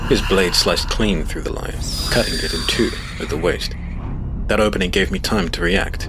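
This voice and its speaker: A man playing a grave, determined hero, telling his story as dramatic, measured first-person fantasy narration. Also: deep voice, background noise. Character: male monster-hunting hero recounting battle, grave, determined. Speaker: narrator and protagonist. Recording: prominent music behind the narration.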